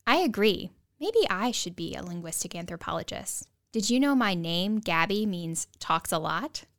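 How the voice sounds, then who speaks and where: A woman's voice: fairly low pitch, very animated, moderate pace, fairly bright tone. A woman co-hosting a podcast, making playful, chatty asides between the guest's answers. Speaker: podcast co-host, conservationist.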